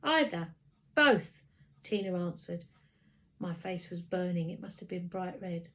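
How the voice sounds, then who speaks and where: A woman narrating a children's story in an expressive storytelling style. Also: lowish voice, normal speed, a little hiss or hum. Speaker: story reader.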